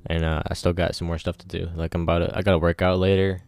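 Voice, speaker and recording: A man's voice: low voice, little pitch movement, quick pace, a little mellow, faint music behind. A twenty-one-year-old man hosting a solo podcast, rambling casually with lots of swearing and pep-talk energy. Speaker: podcast host.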